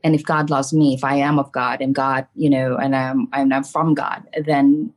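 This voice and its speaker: Low-pitched middle-aged woman, candid storyteller. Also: flat delivery, normal speed. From Philippines. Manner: candid, emphatic, often checks in with "right" and "you know". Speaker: podcast guest, trauma coach and spiritual medium. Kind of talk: casual personal storytelling in an interview.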